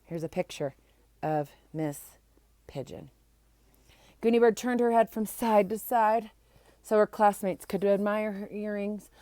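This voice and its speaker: A woman reads a children's storybook aloud as an amateur narrator. Her delivery is expressive and animated, at a middling pitch. The sound is dry, with no room echo. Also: measured pace, long pauses.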